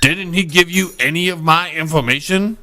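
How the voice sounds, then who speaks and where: A man's voice: fairly high pitch, normal speed, music in the background, close to an everyday voice. A man playing an irritable, blunt cable customer who curses and complains in a comedy phone-call sketch. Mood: fed up. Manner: exasperated, blunt, cursing complainer. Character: irritable, cranky cable customer named Shady, quick to curse.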